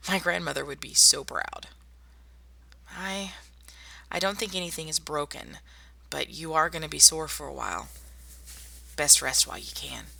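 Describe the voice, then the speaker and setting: A woman playing a tough, wry young survivor in a zombie apocalypse ASMR roleplay, speaking softly and intimately to one listener. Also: deep voice, moderate pace, gravelly, pauses often, full character voice. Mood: teasing, caring. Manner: soft-spoken, intimate, addressing one listener. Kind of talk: ASMR roleplay audio drama.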